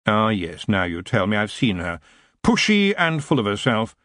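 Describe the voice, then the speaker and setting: A man voicing a wry, coolly commanding tyrant in an audiobook, dry, measured and understated. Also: fairly low pitch, very animated, fairly bright tone, dry recording.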